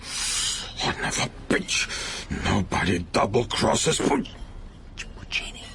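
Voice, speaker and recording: An actor playing a self-important, menacing American gangster in a radio drama, deliberate and pompous, impatient yet courtly. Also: male voice, lowish voice, lively intonation, vocal fry, noisy background, exaggerated voice. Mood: blustering.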